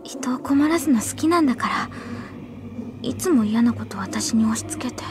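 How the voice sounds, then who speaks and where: A woman's voice: on the high side, normal speed, background noise, close to an everyday voice. A woman playing a timid, flustered, polite schoolgirl who stammers hesitantly in an anime audio drama. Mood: anxious, hushed. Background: loud music.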